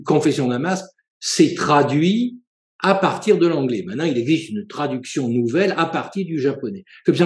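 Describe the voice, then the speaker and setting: A man, a professor and psychoanalyst, giving a seminar lecture in a measured, explanatory way, with frequent hesitations and self-corrections. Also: medium pitch, darkish tone, echoey room. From France.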